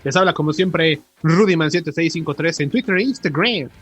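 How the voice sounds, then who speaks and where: A man's voice: on the high side, moderate pace, a bit bright, slightly rough. A Mexican man hosting a podcast, chatting casually with slangy, profane, joking banter. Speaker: podcast host. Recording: podcast with music playing.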